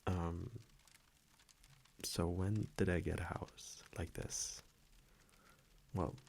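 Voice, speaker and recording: A man playing an affectionate centuries-old vampire boyfriend in an intimate ASMR roleplay, speaking softly, hesitantly and teasingly into a close microphone. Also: deep voice, vocal fry, slight background noise, full character voice. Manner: soft, hesitant, teasing, chuckling between phrases.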